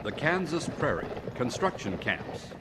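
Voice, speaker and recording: A man narrating an old-time radio drama as announcer and formal interviewer, measured and dramatic. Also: mid-range voice, very animated, heavy background noise. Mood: measured, inquiring.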